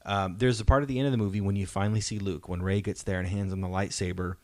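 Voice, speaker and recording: A man's voice: deep voice, steady tone, fast, dry recording. A man hosting a podcast, chatting casually as a fan in an enthusiastic, emphatic way that seeks agreement. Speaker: podcast host.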